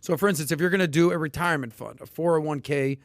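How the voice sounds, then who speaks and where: A man hosting a casual podcast and explaining investing in an emphatic, animated way, with sudden loud bursts. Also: a little high, fast, dry recording, studio quality. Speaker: podcast host.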